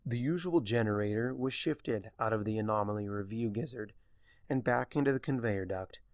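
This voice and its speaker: A man narrating written fiction in an expressive storytelling manner. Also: on the low side, normal speed, dry recording, clean studio sound.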